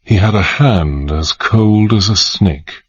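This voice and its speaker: An actor playing a British gentleman adventurer, dry and weary, in a radio drama that he also narrates in the first person. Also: lowish voice, slow, slightly rough, muffled recording. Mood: reflective narration.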